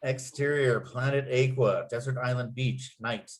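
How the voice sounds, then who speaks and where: A man with a medium-pitched voice serves as narrator for a script read. He delivers stage directions and scene headings as written, in descriptive phrases. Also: a little slow. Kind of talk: reading screenplay stage directions aloud.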